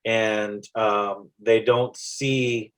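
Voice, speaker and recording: A man with a South Texas accent talks about his poetry on a podcast. His voice sits low, and he pauses and restarts often as he thinks aloud. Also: level tone, a bit bright. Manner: reflective, halting, with many restarts and fillers. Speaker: poet guest. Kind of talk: casual interview chat.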